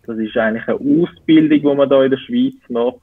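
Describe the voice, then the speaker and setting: A man's voice: mid-range voice, fairly quick, slightly rough, no room sound. A man in his twenties with a Zurich Swiss German accent, answering interview questions and searching for words as he goes. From Switzerland. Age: 20s. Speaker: interview guest. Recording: tinny phone-like call audio.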